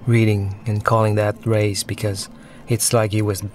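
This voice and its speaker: A man giving unscripted commentary on his own film, talking in a conversational, reflective and slightly halting way. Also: fairly low pitch, level tone. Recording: film soundtrack music playing behind. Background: film soundtrack music.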